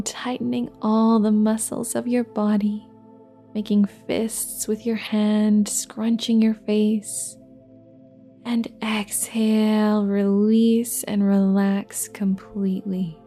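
A soothing female meditation guide speaks in a soft, breathy, mellow voice. Her delivery is very slow and level, with long restful pauses. Soft new-age music with singing bowls plays quietly behind her. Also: fairly high pitch.

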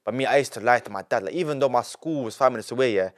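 Animated young Londoner telling stories. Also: male voice, medium pitch, very fast. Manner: animated, repeats himself, heavy street slang. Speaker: young entrepreneur guest. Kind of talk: casual podcast storytelling.

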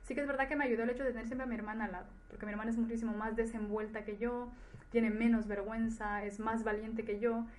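Higher-voiced woman, Ecuadorian-Spanish accent. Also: little pitch movement, fast, darkish tone. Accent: Ecuadorian with Spanish influence. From Ecuador, later grew up in Spain. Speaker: podcast guest. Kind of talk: casual conversation, personal recollection.

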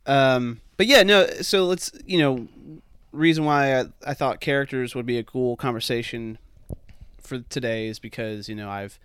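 Chatty male host with a mid-range, smooth voice and a loose, filler-heavy style. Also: mid-range voice, moderate pace, fairly bright tone. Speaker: podcast host, film director. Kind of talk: casual podcast chat.

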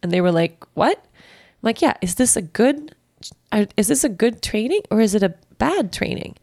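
A woman in her thirties from Sweden with a Swedish accent, hosting and talking candidly and reflectively, halting and restarting as she thinks. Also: a little high, big pitch swings, no echo, clean studio sound. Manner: candid, halting, self-reflective with frequent restarts and soft laughs. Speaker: podcast host. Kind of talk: personal reflective monologue.

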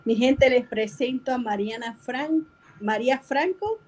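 A woman's voice: fairly high pitch, unhurried, low-quality recording. A bubbly woman with a Venezuelan accent hosting a casual chat show in an effusive way. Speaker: show host.

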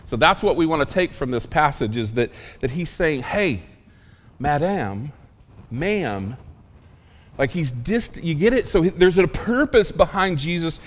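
A man preaching a sermon in a casual, emphatic way, with asides and quick questions to the congregation. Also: a little high, lively intonation, in a large hall. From Oklahoma. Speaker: pastor.

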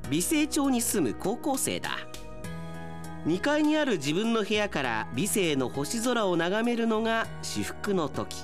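A man plays an earnest storyteller narrating a radio drama. He takes his time, with measured, dramatic phrasing in a lowered register, over faint music. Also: sing-song.

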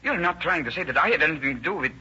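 An actor playing a nervous, evasive young murder suspect, hesitant and stiffly formal, in an old radio drama. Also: male voice, a little high, expressive, very fast, sharp tone. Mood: defensive, rattled. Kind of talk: 1940s radio acting. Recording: old lo-fi radio recording with hiss.

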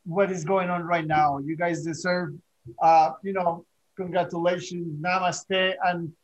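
Higher-pitched man, dull lo-fi sound. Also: little pitch movement. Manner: halting, repeats phrases. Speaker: podcast panelist, mountaineer. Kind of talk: casual interview chat. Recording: dull lo-fi connection.

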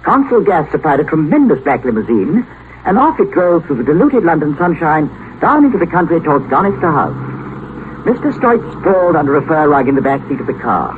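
An actor playing the urbane narrator of a 1950s radio play, delivering scripted dramatic narration. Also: male voice, fairly high pitch, sing-song, fairly quick, phone-quality sound. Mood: composed, wry. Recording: thin, noisy old radio broadcast.

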